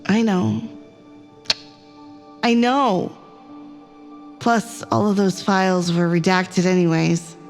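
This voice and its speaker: A woman's voice: mid-range voice, steady tone, slow pace, pauses often, darkish tone, soft background music. A woman playing an anxious, headstrong academic on a space crew in an audio drama, sweary and argumentative. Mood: worried.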